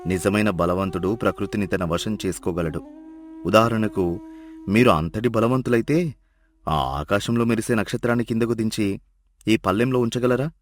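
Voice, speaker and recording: A man playing a humble, clever donkey herder, speaking politely and with quiet, measured reasoning in a moral story. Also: fairly low pitch, close to an everyday voice. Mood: calm, respectful. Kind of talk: dramatised moral story dialogue. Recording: music behind the voice.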